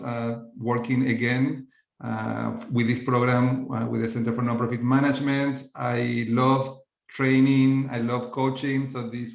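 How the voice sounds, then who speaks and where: A middle-aged man from Argentina with an Argentine Spanish accent, hosting an online orientation for a coaching program in a steady explanatory way. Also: medium pitch, gravelly, echoey room. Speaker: coaching program trainer, host. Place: virtual meeting.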